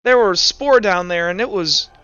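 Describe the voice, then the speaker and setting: A man voicing a nervous, emotional young fellow who talks in a halting, apologetic stammer. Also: high voice, bright, forceful tone, smooth, muffled recording, soft background music. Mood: hesitant.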